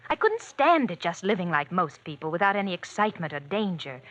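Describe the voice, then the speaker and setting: A defiant thrill-seeking heiress. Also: female voice, lowish voice, very animated, a little fast, a bit bright. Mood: coaxing. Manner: breezy, defiant. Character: thrill-seeking young society woman, cool and scheming. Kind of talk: 1940s radio acting. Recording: muffled old radio recording.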